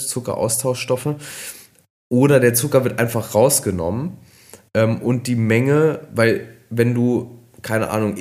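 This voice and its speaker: A man, a nutrition expert co-hosting a podcast, explaining casually with many fillers, slightly hoarse from a cold. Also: fairly low pitch, a little mellow.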